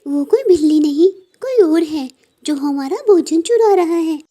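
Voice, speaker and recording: A woman's voice: very high voice, expressive, measured pace, dark tone, pitched above the performer's own voice. A woman voicing a caring but firm mother sparrow in a cartoon story. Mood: worried.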